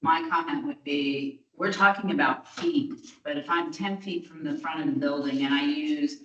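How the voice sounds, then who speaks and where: A woman with a low, loud voice questions the board directly and insists on objective measures. She is heard from far off in an echoey chamber, and the sound is muffled. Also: fairly even intonation, normal speed, slight background noise. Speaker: planning board member. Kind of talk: questioning at a public meeting.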